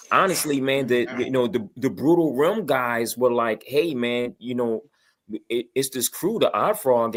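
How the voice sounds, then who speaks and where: A man chatting casually in an emphatic, motivational way, peppering his talk with "man" and "you know". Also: mid-range voice. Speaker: online radio show panelist. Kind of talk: casual group chat.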